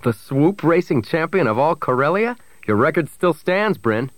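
A man voicing an eager, well-off gentleman, gushing and courteous, in a story. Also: fairly high pitch, sing-song, normal speed, no room sound, pitched above the performer's own voice. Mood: effusive. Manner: effusive, courteous.

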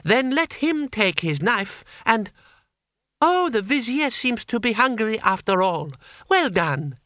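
A man's voice: high voice, very animated, slow pace, sharp tone, no room sound, studio quality, voice pushed up, full character voice. A man voicing an imperious boy emperor who speaks in ornate, mock-courteous phrases with polite menace, as in an audiobook story. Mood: smiling menace.

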